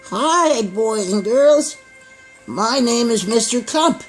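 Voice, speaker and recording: A man's voice: very high voice, expressive, takes it slowly, faint music behind, pitched above the performer's own voice, full character voice. A man voicing a naive, chirpy little talking cup in a children's puppet show, in a repetitive sing-song way. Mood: cheerful greeting.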